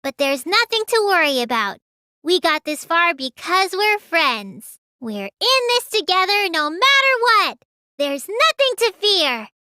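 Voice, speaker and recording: A little teenage girl mage from an anime video game. Her voice is high and bright, swinging widely in pitch. She is earnest, excitable and pouty, with a childish way of talking that turns to stammering when flustered. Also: measured pace, no echo, exaggerated voice. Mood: earnest, trusting.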